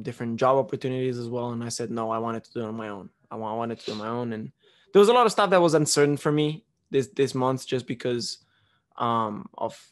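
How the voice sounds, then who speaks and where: A young man from Romania talks casually on his own podcast, recorded at home on a close studio mic. His voice sits at an average pitch for a man. He rambles with fillers and restarts as he tells his story. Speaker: podcast host. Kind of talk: casual chat. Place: living room at home. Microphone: studio mic on boom arm, close.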